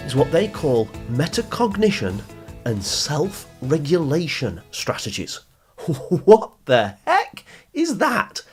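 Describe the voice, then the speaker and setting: A British man hosting a motivational advice podcast, speaking directly to listeners in an emphatic, explanatory manner with rhetorical questions and repetition. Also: fairly high pitch. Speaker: podcast host and study skills coach.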